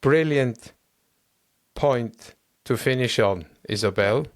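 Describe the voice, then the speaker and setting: A man's voice: mid-range voice, slow, no echo, studio quality. A man hosting a podcast about endurance running, chatting with his guest in an encouraging way. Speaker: podcast host. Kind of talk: interview host chat.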